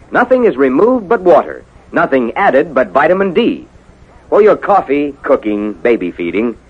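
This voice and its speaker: A man's voice: medium pitch, slightly rough. An American man announcing and narrating in a polished, emphatic 1950s radio style. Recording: muffled 1950s radio recording.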